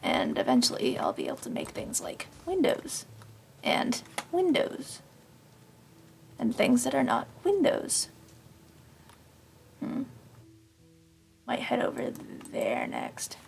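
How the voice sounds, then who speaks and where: A woman casually narrating her Minecraft game, rambling and self-deprecating, her voice slightly raspy from long talking. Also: on the high side, huge pitch swings, slow pace, long pauses, darkish tone, slight background noise. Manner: rambling, self-deprecating, talks to herself while playing. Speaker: gaming channel host. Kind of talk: casual Minecraft let's play commentary.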